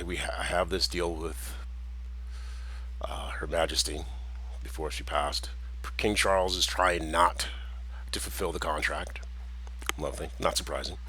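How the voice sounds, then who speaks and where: Low husky man, rambling monologue. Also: fairly even intonation, moderate pace, pauses often, pushed and bright, poor audio. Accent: American with a slight Jamaican tinge. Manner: meandering, with many restarts and chuckles.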